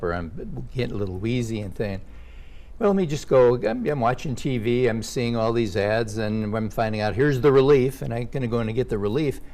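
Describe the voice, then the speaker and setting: Older man, gravelly voice, show host, chatty interviewer, personal asides. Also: on the low side, darkish tone. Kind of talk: casual health interview.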